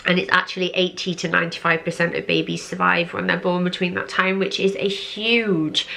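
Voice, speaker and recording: A young woman in a casual vlog, hoarse and congested from a cold, chatting with lots of fillers and drawn-out repeated words. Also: fairly low pitch, flat delivery, pushed and bright, a little hiss or hum. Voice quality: croaky. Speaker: vlogger. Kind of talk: casual pregnancy update vlog.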